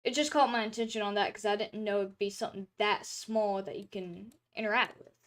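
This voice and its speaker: A young woman streamer in casual chat, rambling with many fillers and restarts, her throat a little dry and hoarse, talking from a room at home. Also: medium pitch, fairly even intonation, fairly quick, fairly bright tone. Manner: rambling, chatty, lots of filler words and restarts. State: throat drying, slightly hoarse.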